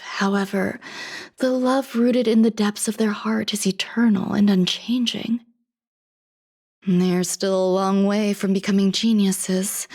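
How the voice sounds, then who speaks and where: A detached female genius in a game cutscene. She speaks at a middle pitch, weighing each thought with clinical care and quiet introspection. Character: detached, reflective female scientist genius pondering emotion. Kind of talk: scripted video game cutscene acting.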